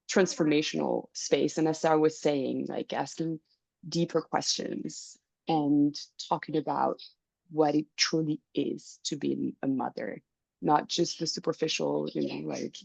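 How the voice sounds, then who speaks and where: Slow, gravelly low woman. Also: a little mellow, dry recording, poor audio. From France. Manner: earnest, laughing often. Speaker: circle facilitator. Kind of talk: casual video call chat. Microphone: video call.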